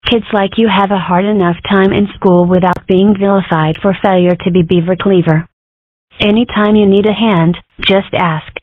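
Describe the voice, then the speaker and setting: A woman voicing a courteous, reassuring school psychiatrist in a comic strip dub, formal and measured. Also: medium pitch, fairly even intonation, no room sound, lightly acted. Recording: muffled, phone-like narrow sound.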